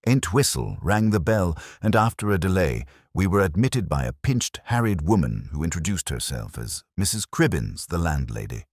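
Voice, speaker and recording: A man's voice: low-pitched, big pitch swings, moderate pace, few pauses, a little mellow, dry recording, studio quality. A man reading scripted first-person detective fiction as an audiobook narrator.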